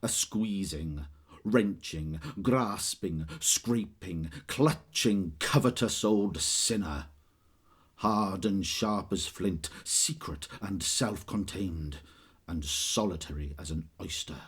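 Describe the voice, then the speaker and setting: Man as slow, deep, husky storyteller. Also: heavily acted. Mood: disapproving, relishing. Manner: deliberate, emphatic. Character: grave Victorian storyteller narrating a ghost tale. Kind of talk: dramatic scripted storybook narration.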